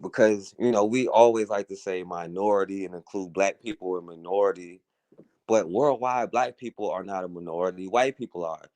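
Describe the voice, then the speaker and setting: A man's voice: low-pitched, moderate pace, a little mellow, dry recording. A man hosting a talk radio show, talking through a casual, earnest monologue, often saying "you know" and "right?".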